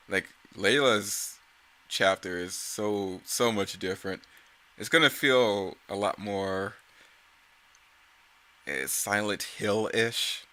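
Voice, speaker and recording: A man giving casual, off-the-cuff creator commentary in a rambling, self-correcting way. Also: low voice. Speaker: creator giving commentary on own motion comic series.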